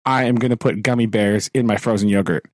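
A man co-hosting a podcast in casual chat, rambling with many fillers, laughing often and clearing his throat now and then. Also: fairly low pitch, steady tone, fast, no room sound, studio quality. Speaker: podcast co-host, teacher.

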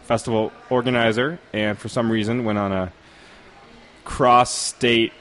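A man's voice: mid-range voice, fairly even intonation, a little slow, a bit bright. A man hosting a casual podcast from a pub at a distillery, joking loosely and using many fillers. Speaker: podcast host.

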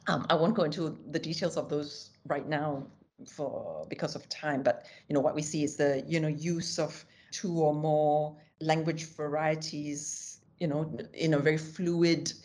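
Woman, low voice, academic guest, conversational, many fillers, self-correcting. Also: steady tone, a little slow, a little mellow. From Singapore. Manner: occasional light laughs. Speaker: linguistics scholar, podcast guest.

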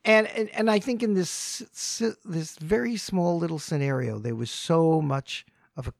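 Podcast co-host, male, somewhat high-pitched, unhurried storyteller, dry booth sound. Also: gravelly, no room sound. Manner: storytelling, thoughtful, many restarts. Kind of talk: casual podcast conversation.

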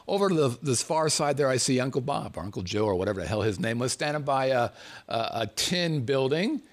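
A middle-aged man from the United States telling a comic personal story, animated and profane, laughing as he goes. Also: mid-range voice, brisk.

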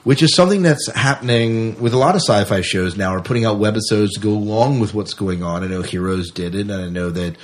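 A man's voice: on the low side, fast talker, hardly any pauses. An American man co-hosting a podcast, chatting casually through science fiction news. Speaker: podcast co-host. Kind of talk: casual sci-fi news chat.

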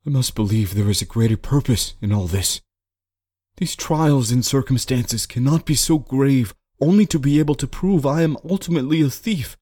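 A man's voice: fairly low pitch, brisk, hardly any pauses, a little mellow, husky. A man voicing a desperate, honorable father in an audiobook story, speaking in an anguished, declamatory way. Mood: resolute, defiant. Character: desperate, honorable father wrestling with guilt, then dying. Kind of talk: dramatic storybook character acting.

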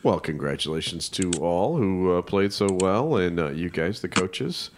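Co-host, male, very low voice, easygoing and jokey sports chat. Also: expressive, unhurried, a little hiss or hum. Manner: laid-back, joking, hesitant with fillers. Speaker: podcast co-host.

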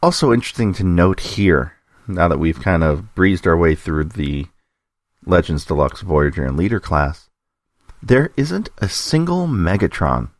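A man's voice: low voice, no echo, studio quality. A man hosting a podcast segment with informal commentary, speculating and thinking aloud as he talks. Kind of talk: informal toy-news commentary.

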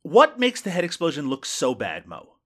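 A man's voice: on the high side, wildly swooping pitch, normal speed, fairly bright tone. A man co-hosting a casual podcast, reviewing a horror film with wry jokes, swearing and frequent laughs. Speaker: podcast co-host. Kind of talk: casual movie review chat.

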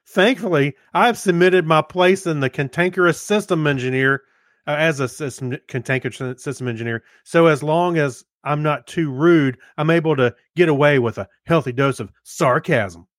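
A man's voice: a little high, normal speed, fairly bright tone, no room sound, studio quality. A man co-hosting a podcast, chatting casually and reading out comments from listeners. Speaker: podcast co-host.

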